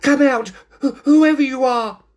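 A man's voice: high voice, sing-song, measured pace, gravelly, darkish tone, pitched above the performer's own voice, exaggerated voice. A man voicing a timid, polite, easily flustered little whiskered animal in a storybook, with a plaintive manner. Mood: frightened.

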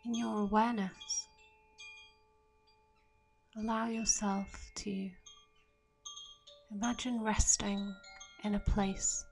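A woman leading a guided meditation in a soft, soothing, gentle voice, leaving long pauses and trailing off breathily at the ends of phrases. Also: medium pitch, little pitch movement, vocal fry. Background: soft meditation music with chimes.

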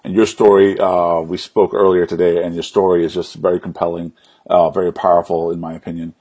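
A man hosting a casual live interview, with a husky voice and informal, halting phrasing. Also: deep voice, level tone, dark tone. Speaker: interview show host.

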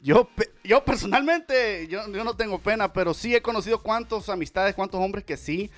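Fairly high-voiced guy hyping up his podcast, playful and repetitive, some music underneath. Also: moderate pace. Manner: energetic, repetitive, playful hype. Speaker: radio podcast host. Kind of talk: casual hype chat. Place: home studio in Las Vegas.

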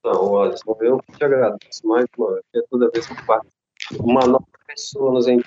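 A man who works as a psychologist, speaking earnestly and outspokenly as a guest on a podcast, with a husky voice. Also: mid-range voice, steady tone, a little fast, warm tone, highs cut off, a little hiss or hum. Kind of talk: casual podcast talk.

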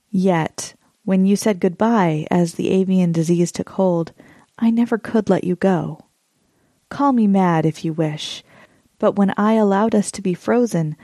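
A woman reading a poem as a measured first-person monologue. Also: lowish voice, dark tone, no echo. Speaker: poetry reader.

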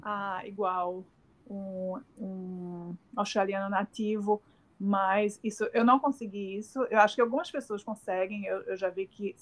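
A middle-aged woman with a Northeastern Brazilian accent, speaking at an ordinary middle pitch. She talks in a halting, searching way, full of fillers, restarts and tag questions, as an interview guest. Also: steady tone. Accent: Northeastern Brazilian Portuguese. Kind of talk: casual remote interview.

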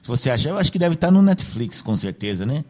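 Chatty radio host with a mellow voice. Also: male voice, on the high side, big pitch swings, quick pace. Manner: chatty, filler-heavy, seeks agreement with "né". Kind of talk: casual radio chat.

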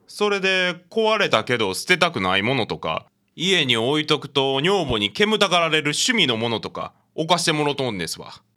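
A man's voice: fairly high pitch, very animated, moderate pace, pushed and bright. A man playing an older, chatty apartment landlord with a Kansai accent in a radio drama. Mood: sheepish. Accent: Kansai Japanese. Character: old male apartment landlord, a chatty grandfather.

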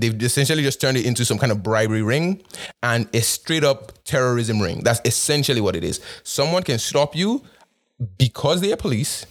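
A young man from Nigeria with an accent shaped by both Nigeria and North America, talking casually with fillers and restarts. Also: mid-range voice. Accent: Nigerian with North American influence. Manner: conversational, with frequent fillers and repeated restarts. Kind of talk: casual monologue.

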